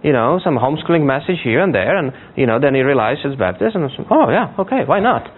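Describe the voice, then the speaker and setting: A man from Africa preaching conversationally and earnestly, often repeating words haltingly and checking in with his listeners. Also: a little high, big pitch swings, husky. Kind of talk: conversational sermon. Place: speaking to a church congregation. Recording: tinny, phone-like narrow band.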